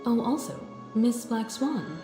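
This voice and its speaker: A woman's voice: on the high side, animated, slow. A woman playing a gracious, assured starship navigator in a game, composed and polite. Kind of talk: scripted game dialogue. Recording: echoing game audio with music.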